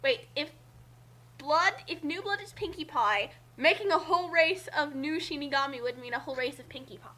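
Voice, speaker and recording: A woman's voice: high-pitched, normal speed, sharp tone. A woman reading a story aloud dramatically and bantering jokingly with friends. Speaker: co-host reading fan fiction aloud.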